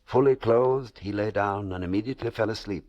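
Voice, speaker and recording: Very deep solemn male radio narrator. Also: fairly quick, highs cut off. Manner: solemn, suspenseful, rhetorical. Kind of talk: old-time radio dramatic narration.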